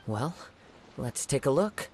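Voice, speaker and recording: An actor playing a calm, thoughtful young wandering swordsman in a video game, speaking in a measured, formal, deliberate way. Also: medium pitch, a little hiss or hum. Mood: calm, analytical. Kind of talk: scripted video game voice acting.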